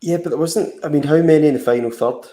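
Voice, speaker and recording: A man's voice: fairly high pitch, quick pace, mellow, echoey room. A man co-hosting a fantasy football live stream, chatting casually and rambling with lots of fillers and restarts. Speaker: fantasy football podcast co-host.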